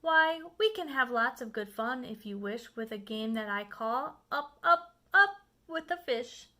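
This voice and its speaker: Lady doing a playful show-off cat from a kids book, high n bright, coaxing and exclaiming. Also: expressive, unhurried. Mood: reassuring, boastful. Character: playful, boastful showman cat in a tall hat. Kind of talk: storybook read-aloud.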